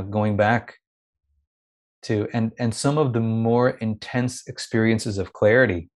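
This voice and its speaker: Soft-spoken thoughtful man, from India. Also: fairly low pitch. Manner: thoughtful, searching, with frequent restarts. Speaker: guest. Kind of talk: reflective conversational interview.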